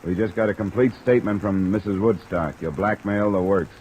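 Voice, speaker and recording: An actor with an American accent playing a cool, blunt insurance investigator, terse and matter-of-fact in an old radio drama. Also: male voice, fairly low pitch, a little fast, a little mellow, slight background noise, natural voice, poor audio. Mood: firm, insistent. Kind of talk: mid-century American radio detective acting. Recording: old radio recording under rain sound.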